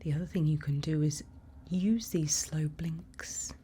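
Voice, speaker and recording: A woman speaks in a soft, breathy ASMR whisper with a dark, mellow tone. She guides the listener slowly and reassuringly as a calm forest night-watch leader. A faint ambience sits behind her close-miked voice. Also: low voice. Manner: unhurried, instructive, reassuring. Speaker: ASMR roleplay performer. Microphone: close microphone. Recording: faint background ambient noise.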